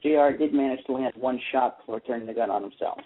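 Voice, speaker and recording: A man's voice: mid-range voice, fast, slight background noise. A man hosting a casual radio talk show about TV, chatty and opinionated as he explains his views. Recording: tinny phone-like internet radio line.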